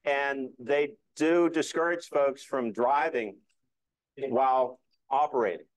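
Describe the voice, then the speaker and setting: A man's voice: mid-range voice, slow, no echo, rough recording. A middle-aged man giving an informal club presentation, explaining things in a conversational way. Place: indoor meeting room.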